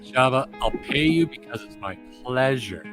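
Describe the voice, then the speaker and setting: A man's voice: medium pitch, expressive, normal speed, fairly bright tone, faint music behind, close to an everyday voice. A man playing a cocky, wry smuggler pilot in a casual table read of a script.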